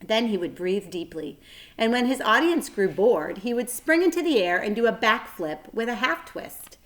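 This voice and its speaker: Expressive woman reading a children's story. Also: a little high, moderate pace. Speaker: teacher reading aloud. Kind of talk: children's story read-aloud narration.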